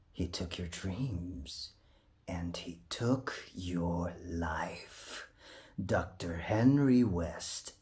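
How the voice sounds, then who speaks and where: A man voicing a sinister demonic mask in a horror game monologue, taunting with slow, drawn-out, whispery menace. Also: deep voice, darkish tone, voice pushed down, heavily acted.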